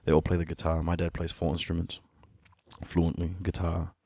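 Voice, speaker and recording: Deep gravelly nz-guy in his 30s, laid back, talks easy and laughs a lot, dry close mic. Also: moderate pace, dry recording. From born in Sudan, raised in New Zealand. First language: an Ethiopian language. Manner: relaxed, candid, laughing often. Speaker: musician guest. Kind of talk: casual podcast conversation.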